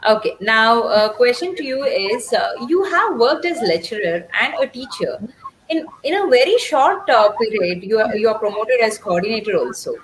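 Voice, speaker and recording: A woman hosting an interview, putting her questions in a measured way with frequent "uh" and "um". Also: fairly high pitch, animated, slight background noise, poor audio. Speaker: interview host.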